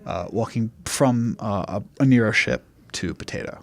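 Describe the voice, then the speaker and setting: Informal male game master, halting delivery. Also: fairly low pitch. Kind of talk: casual improvised tabletop game narration.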